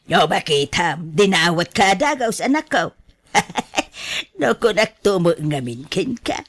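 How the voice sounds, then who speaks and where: A woman's voice: on the low side, big pitch swings, a little slow. A woman acting a money-minded, indulgent middle-aged mother in a radio drama, chatty and conniving. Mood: eager, cheerful.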